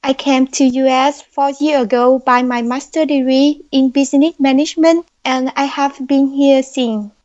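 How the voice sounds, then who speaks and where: High-voiced woman with a Vietnamese accent. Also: steady tone, a little mellow. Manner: slow, careful, earnest speech. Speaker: guest. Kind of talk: podcast interview answers. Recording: dull lo-fi audio.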